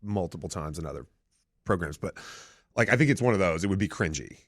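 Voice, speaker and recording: Radio host, male, middle-aged, low and very expressive delivery, dry studio sound. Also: fast, dry recording. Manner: animated, lively storytelling with big pitch swings. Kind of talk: casual radio talk. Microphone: studio microphone.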